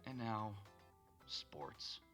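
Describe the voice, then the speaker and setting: A man playing a bored, gloomy school PA announcer in a visual novel, deadpan and unenthusiastic. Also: on the low side, very slow, faint music behind, heavily acted. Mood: bored, glum. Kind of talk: voiced visual novel acting.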